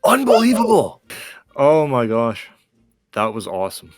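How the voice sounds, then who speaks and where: A man chatting casually as a game co-host in a measured, explanatory way. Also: mid-range voice, huge pitch swings, dry recording, faint music behind. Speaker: gaming co-host. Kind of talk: casual chat during a game.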